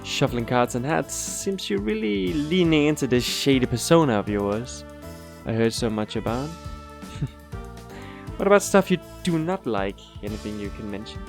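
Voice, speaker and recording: A man's voice: medium pitch, expressive, vocal fry. Man acting a courteous formal interviewer, faint music. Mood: amused. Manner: formal, measured, lightly amused. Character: courteous, teasing male school interviewer, former talent scout. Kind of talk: audio drama interview.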